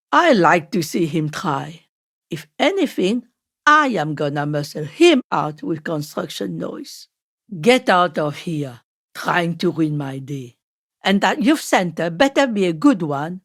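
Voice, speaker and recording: A woman's voice: mid-range voice, dry recording, clean studio sound, exaggerated voice. A woman voicing a weary, sly old washerwoman in a video game, with an aged voice and a wry, scolding manner. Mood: blunt, fond, then gruff, defiant. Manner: unhurried, wry, scolding, dryly teasing. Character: weary, sly old washerwoman, poor-sighted, blunt but caring. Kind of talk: video game character acting.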